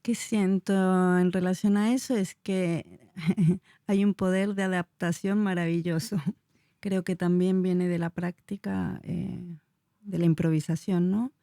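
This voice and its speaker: A woman's voice: fairly low pitch, steady tone, slow pace, warm tone, dry recording, clean studio sound. A woman in her forties from Chile, a dance teacher answering interview questions in a searching, hesitant way with many fillers. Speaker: dance teacher and movement researcher.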